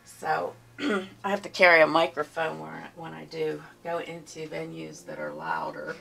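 A soft-spoken woman with a Pittsburgh accent, teaching a step-by-step painting tutorial in her home studio and talking unhurriedly as she works. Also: low voice, flat delivery, gravelly. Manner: unhurried, murmurs to herself while painting. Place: home art studio, indoors. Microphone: camera mic from behind, far off. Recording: distant camera mic with faint music.

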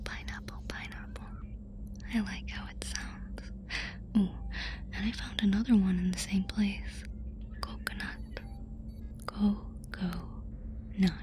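Girl doing a curious lil alien lady, whispery asmr, real slow and playful with words. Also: mid-range voice, warm tone, natural voice. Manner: slow, savouring and repeating words.

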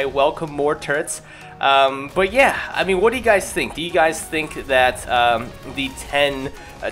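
A young man with a fairly high voice records casual gaming commentary as a voice-over. He is chatty and informal, with a self-deprecating way of talking, and music plays behind him. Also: a bit bright. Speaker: gaming video creator. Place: voice-over recorded apart from the gameplay.

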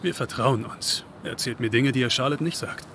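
Actor as bright-voiced, friendly young man. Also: medium pitch, fairly quick, slight background noise, natural voice. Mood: playful. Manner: casual, teasing, affectionate. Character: friendly, upbeat young man, protective big-brother type. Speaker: video game character. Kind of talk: video game dialogue.

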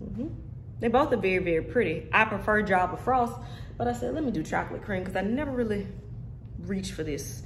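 A woman's voice: mid-range voice, expressive, fairly quick, reverberant, slight background noise. A woman in her thirties reviewing a wig in a casual vlog, talking straight to her viewers in a sassy, joking way while seated indoors. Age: 30s. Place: indoor room with brick wall.

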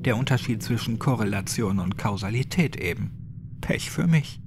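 A man voicing a gruff, crude, sadistic old man with a clipped, mocking way of talking, in a horror audiobook. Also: on the low side, expressive, moderate pace, noisy background. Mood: smug.

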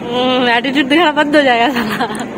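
High-voiced playful woman vlogging in a mall. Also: brisk. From Kolkata, India. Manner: teasing, playful, laughs often. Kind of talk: casual vlog chat. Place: shopping mall and sports store, indoors. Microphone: phone camera at arm's length. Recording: noisy mall with crowd.

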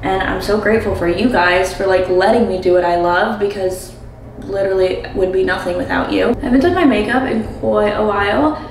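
Casting: American woman, twenties, mid-pitched, chatty casual vlogger delivery, recorded in a reverberant bathroom. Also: steady tone, brisk. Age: 20s. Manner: chatty, frequent "like". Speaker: lifestyle vlogger. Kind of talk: casual chat to camera. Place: home bathroom with hard surfaces. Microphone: camera a step or two away. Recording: roomy, distant mic, faint noise.

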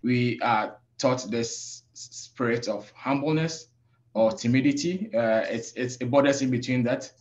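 A man from Africa who works as a safety professional, speaking on a webinar panel in a measured, reflective way with many pauses. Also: medium pitch, a little mellow, muffled recording. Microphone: video call.

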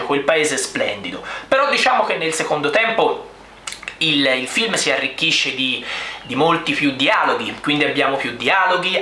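A man's voice: fairly high pitch, sharp tone. A young adult man giving an unscripted film review to camera, explaining emphatically and often repeating himself. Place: small bare-walled room indoors. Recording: echoey room with faint noise.

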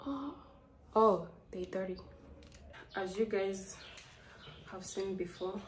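A young woman from Rwanda with a Rwandan accent, chatting casually to a phone camera as a vlogger. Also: mid-range voice. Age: young adult. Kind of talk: casual vlog chat to camera. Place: small indoor rooms, speaking to camera. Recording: roomy echo, faint background noise.

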